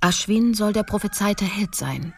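A woman's voice: medium pitch, lively intonation, unhurried, noisy background. A woman giving scripted narration and title announcements for a fantasy radio drama.

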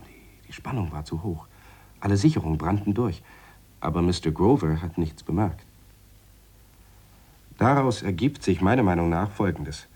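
An actor playing a deliberate, wry scientist in a radio play, explaining his theories in a measured, dry manner. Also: low voice, dark tone, slightly rough. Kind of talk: radio play dialogue in a reflective, lecturing tone.

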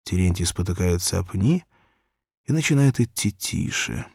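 A man narrating a literary story for children as an audiobook, in an expressive, measured, dramatic way. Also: deep voice, creaky, breathy edge, no echo, clean studio sound.

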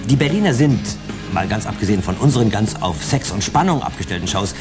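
Radio play executive, played by a man: talkative, emphatic, fast-paced, businesslike. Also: lowish voice, lively intonation, over music, natural voice. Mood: earnest, emphatic. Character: keen, talkative male football-business manager. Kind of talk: radio drama dialogue.

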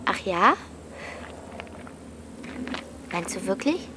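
A woman's voice: medium pitch, very animated, moderate pace, noisy background, lightly acted. A woman playing a feisty, defiant thirteen-year-old village girl in a radio drama, pert and insistent. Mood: pert, defensive.

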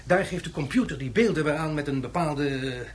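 A man's voice: fairly high pitch, brisk, a little hiss or hum, natural voice. Actor as fussy, pedantic male professor. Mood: eager, explaining. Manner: hesitant, explanatory. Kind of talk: radio drama acting.

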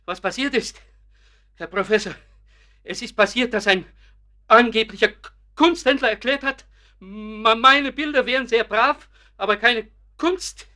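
A man's voice: very high-pitched, unhurried, pauses often, pushed and bright, exaggerated voice. A man, an actor in a German radio play, playing an aggrieved middle-aged amateur painter who is wounded, self-doubting and repeats his words bitterly. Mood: indignant, scornful. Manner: agitated, repeats words bitterly, stammering outbursts.

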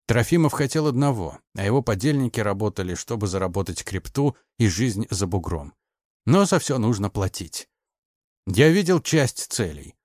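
A man's voice: lowish voice, darkish tone, dry recording, studio quality. A man narrating an audiobook in a scripted, dramatic storytelling style.

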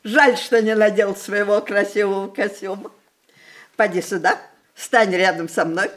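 A woman's voice: mid-range voice, steady tone, a little slow, close to an everyday voice. An actress playing a willful, mischievous old grandmother in a theatrical radio play, commanding and capricious. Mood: bossy, affectionate. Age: older. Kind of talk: Russian stage-play radio acting.

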